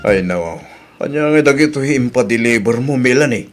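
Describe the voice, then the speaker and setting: A man's voice: medium pitch, animated, normal speed, over loud music, close to an everyday voice. A man with an Ilocano accent acting a thrifty, grumbling working husband in an audio drama. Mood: annoyed.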